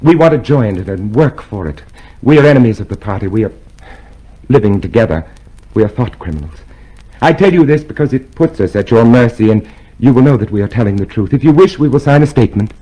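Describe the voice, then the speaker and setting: A man's voice: mid-range voice, lively intonation, brisk, mellow, natural voice, low-quality recording. An actor playing an earnest, anxious man joining a secret rebellion in an old radio drama. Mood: earnest, tense. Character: earnest, anxious male rebel eager to join conspiracy.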